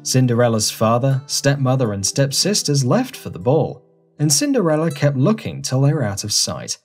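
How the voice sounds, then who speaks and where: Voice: mid-range voice, sing-song, moderate pace, darkish tone, faint music behind. A narrator reading a scripted fairy tale for children in storybook style.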